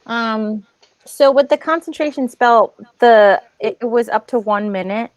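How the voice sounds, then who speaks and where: A woman's voice: a little high, unhurried, no room sound. A woman playing an online tabletop game, talking casually and tentatively as she checks the rules. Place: online voice call.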